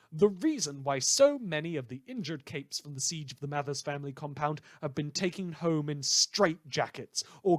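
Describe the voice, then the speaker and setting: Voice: medium pitch, dry recording, natural voice. A performer playing a chatty, wry radio news host in a superhero world, theatrical and teasing, rambling and correcting themself in a fan audio drama.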